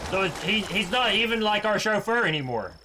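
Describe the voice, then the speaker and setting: A man's voice: sits high, animated, brisk, background noise. A man streaming a video game, reacting casually with slangy, joking asides. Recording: game music behind the voice.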